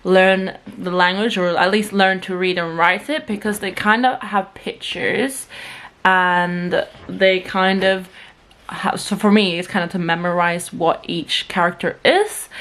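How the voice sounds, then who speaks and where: A woman's voice: mid-range voice, measured pace, fairly bright tone. A young woman from Sweden who vlogs, chatting to her camera in a casual, rambling way, with plenty of fillers and restarts. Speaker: vlogger.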